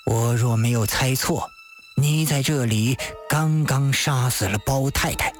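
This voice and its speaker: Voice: medium pitch, a little slow, faint music behind, voice pushed down, lightly acted. A storyteller voicing a stern male magistrate in an audiobook, grave, cold and pointed as he interrogates. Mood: cold, accusing.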